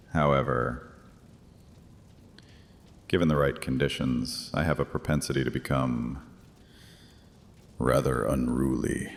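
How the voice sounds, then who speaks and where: A man playing a courtly, sardonic vampire high priest in a horror audio drama, drily witty and menacingly protective. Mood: suave. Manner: theatrical, dryly witty, drawling politeness. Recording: echoey hall, low rumbling noise.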